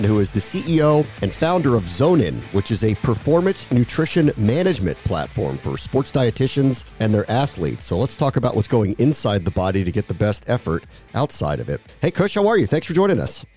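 A man's voice: mid-range voice, sing-song, brisk, hardly any pauses, a little mellow, faint music behind. A man hosting an interview, asking questions in a conversational, reflective way. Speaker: interview host.

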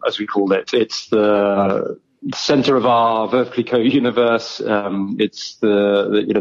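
A man from outside South Africa, speaking as a guest in a phone interview, measured and polished with frequent ums. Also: fairly low pitch, darkish tone, dull audio. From not South Africa. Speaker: champagne brand guest.

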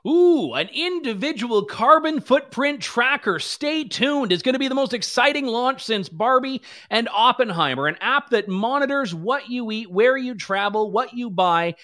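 A man's voice: very high voice, lively intonation, normal speed, few pauses, bright, forceful tone. A man hosting a political commentary show, talking in a sarcastic, mocking way. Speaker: host.

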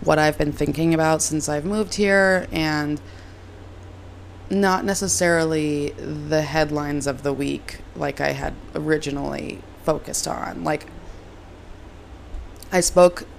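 A young woman hosting her own podcast, hoarse and raspy from a cold, chatting in a rambling, self-deprecating way. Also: sits low, steady tone, takes it slowly, slight background noise. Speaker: podcast host. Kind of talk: casual solo podcast chat. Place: moved from Reno to LA, recording at home.

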